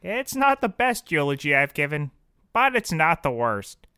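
An actor playing a dazed, bumbling cheap private detective in a scripted comedy audio drama, rambling in a deadpan way. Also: medium pitch, a little slow, clear, resonant tone, no echo, studio quality. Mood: unrepentant, deadpan.

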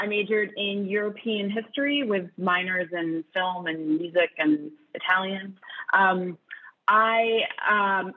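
A woman in her forties from Brazil and the US, introducing herself conversationally as a panelist on a video call. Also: medium pitch. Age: 40s. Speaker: panelist, marketing executive. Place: indoor home room. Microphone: wireless earbuds on video call. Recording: thin phone-like video-call audio.

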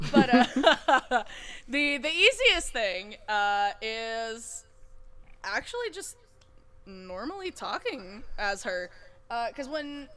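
Giggly woman with a slightly high voice. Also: sing-song, very slow, pauses often, a bit bright. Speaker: voice actor in a cast Q&A. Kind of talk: casual group chat.

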